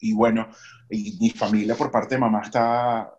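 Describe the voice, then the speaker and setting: A man's voice: lowish voice, muffled recording. Venezuelan man hosting a chatty podcast. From Maracay, Venezuela. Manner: animated, shifts from fast to slow with fillers. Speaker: podcast host; baseball stadium announcer. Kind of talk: casual podcast chat.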